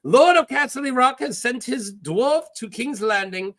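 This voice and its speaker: A flamboyant pirate captain, acted out by a man reading a fantasy story, pitched high and swinging freely up and down. He is boastful and teasing, with a put-on foreign accent and a theatrical, halting lilt. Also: animated, fairly bright tone, heavily acted. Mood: mocking, scheming. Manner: theatrical, lilting, halting delivery.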